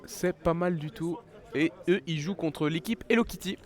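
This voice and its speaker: A man's voice: fairly high pitch, normal speed. A man with a head cold giving live esports commentary, chatty and full of fillers and restarts. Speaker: esports caster.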